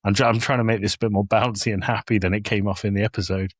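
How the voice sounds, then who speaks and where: A man's voice: fairly low pitch, level tone, quick pace, breathy edge, no echo, studio quality. A man co-hosting a podcast, chatting casually and reading summaries aloud in a jokey, playful way. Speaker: podcast co-host.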